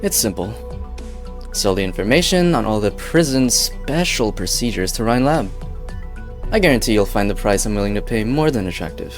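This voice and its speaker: A man playing a smooth-talking, scheming corporate businessman with a theatrical, coaxing manner in an amateur game dub. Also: fairly low pitch, lively intonation, normal speed, lightly acted. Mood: persuasive. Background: background music.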